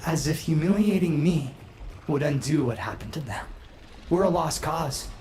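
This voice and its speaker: A man's voice: on the high side, expressive, normal speed, a little mellow, roomy sound, slight background noise, natural voice. A man playing a bitter, self-pitying, aggrieved son in a video game, brooding and sardonic.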